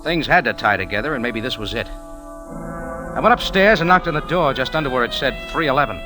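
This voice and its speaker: A hardboiled, wry man of medium pitch played by a male actor tells and acts out a detective story. He is tough and dry, in classic radio drama style. It is a vintage broadcast recording with prominent music. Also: expressive, brisk, lightly acted. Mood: wry, narrating. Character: tough, wry male cafe owner turned amateur sleuth. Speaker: narrator and lead actor. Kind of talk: old-time radio detective drama, first-person narration and dialogue.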